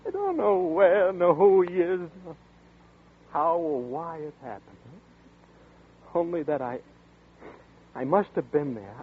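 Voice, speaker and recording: A man's voice: fairly high pitch, very animated, slow pace, pauses often, husky, phone-quality sound, heavily acted. An actor playing a frightened young man who narrates and stammers nervously, in 1940s radio style. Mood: desperate. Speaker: narrator and lead. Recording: scratchy 1940s radio broadcast.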